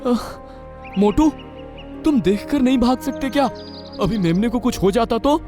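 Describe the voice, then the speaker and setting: A man's voice: very high voice, animated, measured pace, music in the background, voice pushed up. A man voicing a small, upset, complaining animal in a cartoon story, whiny and fretful. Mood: hurt, scolding. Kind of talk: Hindi cartoon story voice acting.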